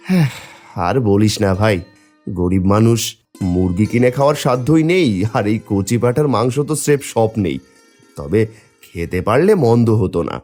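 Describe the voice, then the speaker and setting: A man's voice: fairly low pitch, sing-song, moderate pace, a little mellow, faint music behind. A man voicing a poor, wistful village taro seller and put-upon husband in a cartoon story, plaintive and pleading. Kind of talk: Bengali cartoon story voice acting.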